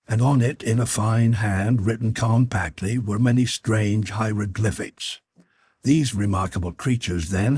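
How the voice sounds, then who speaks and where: A man reading a novel as an audiobook narrator, in measured, formal storytelling style. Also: fairly low pitch, a little mellow, breathy edge, no echo. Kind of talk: scripted literary narration.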